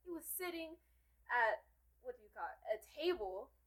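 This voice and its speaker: A woman hosts a relaxed podcast, spinning a story with lively, teasing energy. Her voice is bright and clear and sits slightly high. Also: moderate pace, low-quality recording. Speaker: podcast host. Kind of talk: casual podcast chat.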